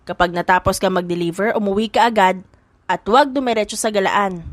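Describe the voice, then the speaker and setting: A woman's voice: medium pitch, brisk, no room sound, lightly acted. A woman doing a stern old grandmother. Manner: admonishing.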